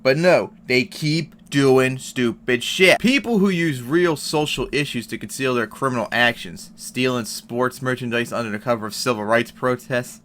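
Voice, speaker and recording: A man's voice: mid-range voice, normal speed, hardly any pauses, fairly bright tone. A man giving heated, profane commentary on an article and news clips, reading passages aloud and reacting. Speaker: commentary vlogger.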